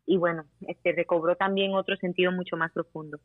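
A woman's voice: on the low side, fairly even intonation, fairly quick, no echo. A woman singer-songwriter, raised in Costa Rica and Panama, interviewed over the phone and laughing often. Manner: laughs often, warm and upbeat. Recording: tinny phone line.

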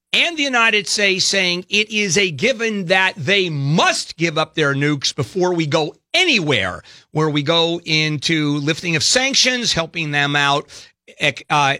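A man's voice: fairly high pitch, pushed and bright, dry recording, clean studio sound. A man hosting a talk radio show, chatty and jokey, with frequent fillers.

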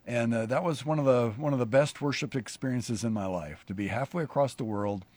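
Rambling, halting man hosting a chat. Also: mid-range voice, a little mellow, dry recording. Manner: rambling, halting, many restarts and fillers. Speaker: pastor and show host. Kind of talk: casual conversation.

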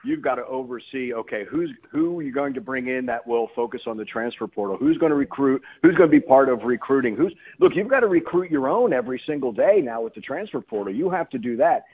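Husky, emphatic man on sports radio. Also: medium pitch, lively intonation, fast talker, hardly any pauses, phone-quality sound. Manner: emphatic, repeats and rephrases himself. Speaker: sports play-by-play announcer, radio guest. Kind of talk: sports talk radio interview.

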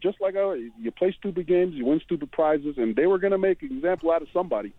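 Man in his forties, fast blunt rambling talk, phone line into radio show, dry tinny sound. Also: fairly high pitch, expressive, dry recording. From New Zealand. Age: 40s. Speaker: radio sports commentator. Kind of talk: casual radio sports chat.

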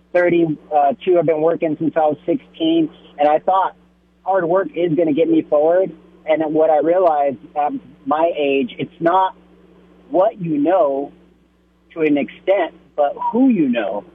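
Guy in his 30s calling in, husky voice on a tinny phone line, blunt and earnest. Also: a little high. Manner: earnest, blunt, increasingly animated. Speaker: caller. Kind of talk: call-in opinion. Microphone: telephone.

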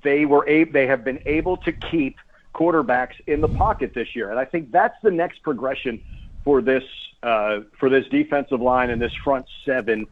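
A man's voice: mid-range voice, moderate pace. A man speaking over a phone line as a guest sports announcer, giving casual, chatty and emphatic analysis in a radio interview. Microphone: phone call.

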